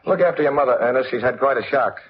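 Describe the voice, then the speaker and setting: An actor playing a suave, wry, unflappable gentleman detective with an urbane, dryly joking manner, in 1940s radio style. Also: medium pitch, quick pace, lightly acted. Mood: amused. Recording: muffled, faintly noisy old radio recording.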